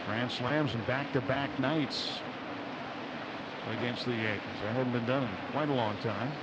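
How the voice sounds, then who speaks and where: A man calling baseball play-by-play on the radio in a measured, descriptive way, pausing between short phrases. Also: mid-range voice, very noisy. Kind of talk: live radio sports commentary. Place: outdoor ballpark broadcast booth. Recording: dull radio broadcast, loud stadium ambience. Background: loud outdoor ballpark ambience.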